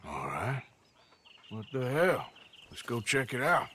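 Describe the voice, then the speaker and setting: An actor playing a gruff, world-weary outlaw gunslinger with an American Western accent, curt and dry, in a video game. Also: male voice, mid-range voice, sing-song, takes it slowly, slight background noise, exaggerated voice. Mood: wary, curt. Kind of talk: video game character acting. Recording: loud music and horse hooves behind.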